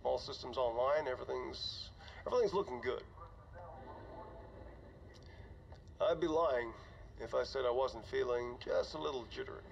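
A dull, slightly noisy recording of a man acting as a weary starship commander keeping a game audio log. He is older and conscience-stricken, talking in a halting, confiding way at a middle pitch. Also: gravelly, close to an everyday voice. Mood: jittery. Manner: conversational, halting, sighing.

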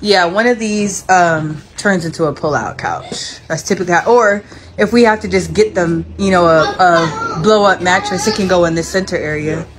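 A woman in her thirties filming a family vlog, excitedly touring RVs and exclaiming over every feature. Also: lowish voice, moderate pace. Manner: gushing, exclaiming, giggly. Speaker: family vlogger. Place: RV dealership lot and trailer interiors. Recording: noisy handheld phone audio.

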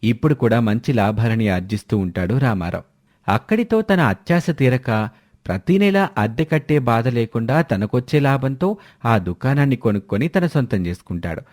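A man's voice: medium pitch, expressive, moderate pace, darkish tone. A man narrating a scripted moral story as a storyteller.

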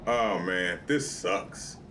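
A man's voice: sits low, very slow, noisy background. A middle-aged American man giving casual, exasperated live gameplay commentary, poking fun at himself as he talks to viewers. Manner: exasperated, self-deprecating, talking to himself and viewers. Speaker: video game streamer. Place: home room, seated indoors.

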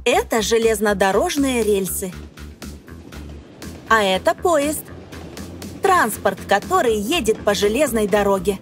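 A woman reading scripted educational narration for young children, phrasing deliberately and putting questions to the listener. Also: medium pitch, expressive. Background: music.

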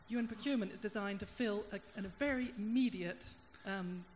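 A woman in the audience at a panel discussion, sharing thoughtful comments into a handheld microphone, pausing and restarting as she searches for words. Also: medium pitch, a little mellow. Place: large conference hall. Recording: hall reverb, faint room hum.

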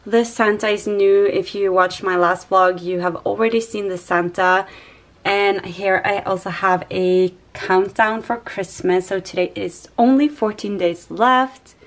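A woman's voice: medium pitch, steady tone, measured pace. Young woman, norwegian is her first language, chatty home tour in her kitchen, laid-back show-and-tell. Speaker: lifestyle vlogger. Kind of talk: casual home tour narration.

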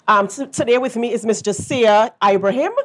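A woman's voice: on the high side, normal speed, fairly bright tone. A middle-aged woman hosting a morning TV interview in a studio, effusive and chatty with lots of affirming interjections. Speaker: morning show host.

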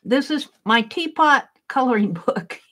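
A woman's voice: high-pitched, expressive, measured pace. A woman chatting to herself as she works in an art journal at her craft table, musing aloud about what to draw next. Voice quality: loud voice. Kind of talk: casual self-talk while drawing. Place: home craft table, indoors.

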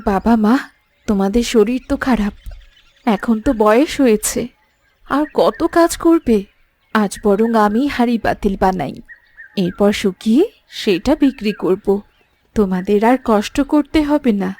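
A woman playing a devoted, gentle royal heroine in an animated fairy tale, speaking earnestly and with emotion. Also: medium pitch, measured pace, long pauses. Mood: tender.

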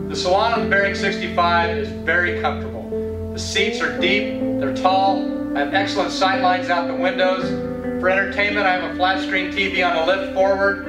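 A man's voice: high voice, distant mic. Upbeat man touring a yacht, roomy sound. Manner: enthusiastic, descriptive, louder out on deck. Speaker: yacht broker giving a boat tour. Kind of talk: scripted walkthrough presentation. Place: aboard a yacht, cabins and open deck. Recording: roomy echo, voice a bit off-mic, music underneath.

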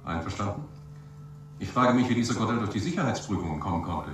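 An actor playing a brisk, suspicious doctor on a commission in a science fiction radio drama. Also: male voice, on the low side, expressive, soft background music, close to an everyday voice. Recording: very echoey, distant, humming noise.

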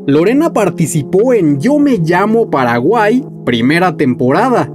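A man in his thirties hosting a music video, reading a scripted countdown narration with lively delivery. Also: high voice, a little slow. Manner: lively, announcing countdown entries. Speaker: music journalist and channel host. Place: small room at home, indoors.

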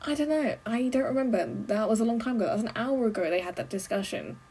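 A young adult woman giving casual, expressive running commentary as she reacts to a film, full of exclamations. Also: a little high, fast talker, a little hiss or hum. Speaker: movie reaction host. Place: small bedroom.

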